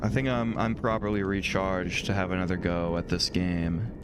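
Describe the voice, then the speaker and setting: A man streaming a video game, thinking out loud in casual, rambling talk, his voice a little hoarse from a throat infection. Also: low-pitched, little pitch movement, moderate pace, background noise. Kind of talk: casual let's-play commentary, reading dialogue aloud.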